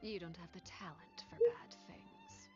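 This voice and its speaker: A woman voicing a cold, commanding noblewoman who speaks in a calm, measured, condescending way. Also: very high-pitched, full character voice. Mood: cool commanding. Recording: dull game audio with music.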